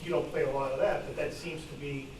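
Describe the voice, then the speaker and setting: A man with a slightly high voice speaks haltingly from the audience in a conversational way. He is distant from the microphone in a heavily echoing room with faint noise. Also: little pitch movement. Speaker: audience member asking a question. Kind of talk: informal question from the floor. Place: club meeting room, far from mic. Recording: very echoey room from far off.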